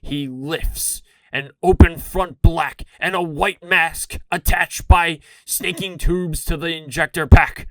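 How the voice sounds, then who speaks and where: A man narrating a campy retro serial in a theatrical, deliberate, scripted style. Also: a little high, steady tone, dry recording.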